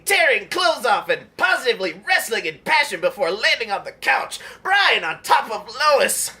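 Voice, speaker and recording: A man's voice: extremely high pitch, sharp tone, heavily acted. A man voicing a sleazy, lecherous middle-aged cartoon bachelor, theatrically reading out a comedy parody story in the first person. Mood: astonished, aroused. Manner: leering, theatrical, punchy.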